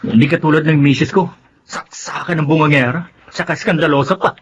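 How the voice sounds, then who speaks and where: A man plays a broke, henpecked drinking buddy in a radio drama. His Filipino-accented voice sits a little high for a man. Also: fairly even intonation, brisk, a little hiss or hum, close to an everyday voice. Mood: complaining.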